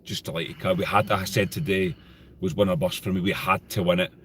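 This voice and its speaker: Very deep-voiced Scottish man. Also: a little fast, a little hiss or hum. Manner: relaxed, plainspoken, with hesitant ums. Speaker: podcast co-host. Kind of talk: casual football chat.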